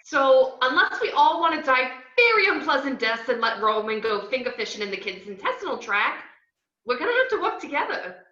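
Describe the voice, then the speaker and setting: A woman playing a brash, playful, unhinged young antiheroine with animated, theatrical delivery in an amateur film script table reading on a video call. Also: sits high, a little fast, full character voice. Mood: urgent, bossy. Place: home, on a group video call. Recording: roomy, dull video-call audio.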